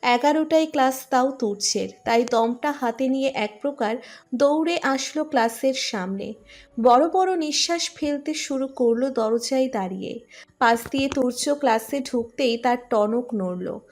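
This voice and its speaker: A woman's voice: high voice, normal speed, a little mellow. A woman reading a scripted romantic story as its narrator.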